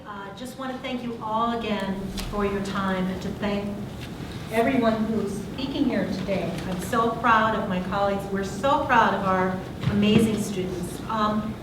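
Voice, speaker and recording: A woman's voice: medium pitch, moderate pace. A woman organizing the event, making hesitant, polite closing remarks and opening questions. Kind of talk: hosting remarks. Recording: echoey hall, far from the mic, noisy.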